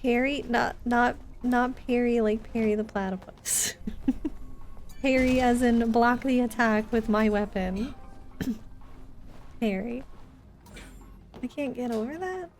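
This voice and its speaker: A woman with a fairly high voice commentates on her gameplay live. She talks casually to viewers in short exclamations and playful mock complaints. Music plays clearly behind her. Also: slow, pauses often. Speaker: game streamer. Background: game music.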